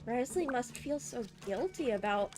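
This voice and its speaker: A woman with a high voice gives let's play commentary on a video game. She is expressive and reactive as she reads and comments. Faint game music runs underneath. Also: normal speed.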